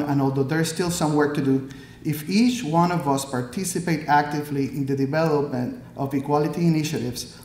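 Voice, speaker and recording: A Puerto Rican-accented man in his thirties reads a prepared speech from the podium in a measured, earnest way, with a filler here and there. His voice sits a little high for a man. The hall around him rings with a clear echo. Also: a little mellow, slight background noise. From Puerto Rico. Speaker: Army pathologist, guest speaker. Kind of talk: prepared speech read from notes. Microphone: podium microphone. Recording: echoey hall.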